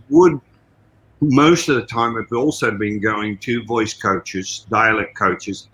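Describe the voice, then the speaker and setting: An older man with an Australian accent, a guest on a podcast interview, telling stories in an animated, expansive way. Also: fairly low pitch, poor audio. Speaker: guest, martial arts trainer.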